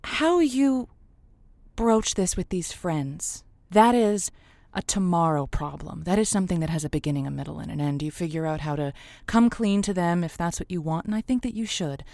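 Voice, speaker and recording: A woman's voice: fairly low pitch, warm tone, no echo, natural voice. Woman playing an encouraging radio advice hostess, dry studio sound. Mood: earnest encouragement. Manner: encouraging, theatrical, halting pep talk. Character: Josie, gushing adult female late-night radio advice host. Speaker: radio call-in show host. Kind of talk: semi-improvised audio drama, radio advice show.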